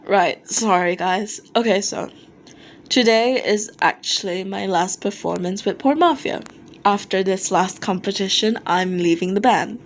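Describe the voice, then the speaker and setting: A woman's voice: medium pitch, a little slow, close to an everyday voice. A woman voicing a blunt, foul-mouthed but apologetic male rock singer in a fan fiction reading. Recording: noticeable background noise.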